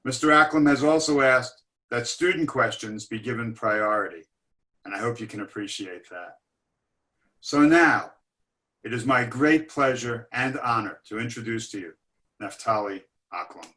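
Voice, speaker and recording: A man speaking as an academic director, giving a formal, scripted introduction to an online lecture in measured phrasing. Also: mid-range voice, sharp tone. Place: online webinar.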